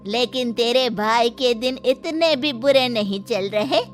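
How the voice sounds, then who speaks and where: A woman's voice: fairly high pitch, slow pace, soft background music. A woman voicing a firm old grandmother in a moral story, chiding her proud daughter emphatically. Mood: firm scolding.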